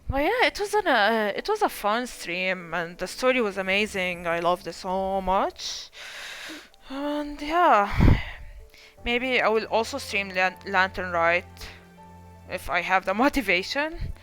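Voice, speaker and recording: A woman's voice: mid-range voice, big pitch swings, slow pace, a bit bright. A young woman casually commenting while playing a game on her stream, chatty and easily surprised, often trailing off. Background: faint game music.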